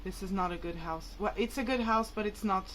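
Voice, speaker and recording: A woman's voice: mid-range voice, fairly quick, fairly bright tone, a little hiss or hum, poor audio. A woman whose mother tongue is Portuguese, talking casually and hesitantly to viewers in a rambling, informal vlog. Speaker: vlogger.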